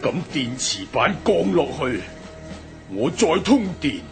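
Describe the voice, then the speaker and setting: A stammering frightened servant. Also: male voice, mid-range voice, very animated, takes it slowly, creaky, over music, heavily acted. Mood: fearful. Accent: Hong Kong Cantonese. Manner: stammering, timid. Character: frightened male servant caught in a scheme. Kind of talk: radio drama acting.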